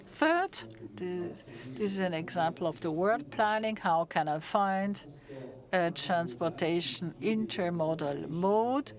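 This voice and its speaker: A woman from Germany with a German accent, giving a halting conference talk full of restarts and fillers. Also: lowish voice, lively intonation, a crowd in the background. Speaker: conference speaker. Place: conference hall with an audience.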